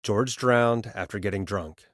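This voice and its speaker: A man's voice: fairly low pitch, measured pace, fairly bright tone, no echo, clean studio sound. A man acting as a late-night radio DJ turned amateur sleuth in a video game, conversational, wry and thoughtful. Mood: serious, concerned.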